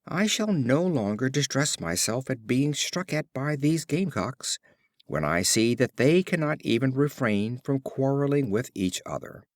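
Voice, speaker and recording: Philosophical fable partridge, read by a man: resigned, reflective, varied pitch. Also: big pitch swings, measured pace, no room sound, clean studio sound.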